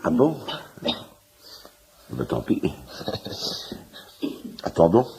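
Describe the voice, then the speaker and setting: Awkward new patient, very low gravelly man's voice, swoopy intonation, halting, radio play with noise. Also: wildly swooping pitch, warm tone, heavily acted, low-quality recording. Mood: awkward, polite. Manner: halting, stammering. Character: hesitant, polite, wary new male patient. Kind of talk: French radio comedy drama acting.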